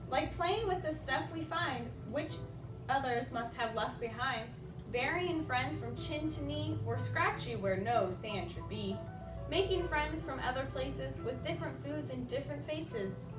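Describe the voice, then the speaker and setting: A woman with a high voice reads a rhyming children's story aloud in an expressive story-time manner, pausing to speak straight to the kids. She is recorded at a distance from the mic in a roomy, echoing space, with music behind her. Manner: expressive, addresses children directly with simple questions. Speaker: children's librarian reading a picture book at story time. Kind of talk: read-aloud rhyming children's story with asides to young listeners.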